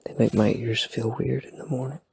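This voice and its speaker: A man whispering softly at night in a rambling, playful, self-deprecating sleep-aid roleplay. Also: mid-range voice, steady tone, a little fast, no room sound. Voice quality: breathy whisper. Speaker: ASMR boyfriend roleplay creator. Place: home at night.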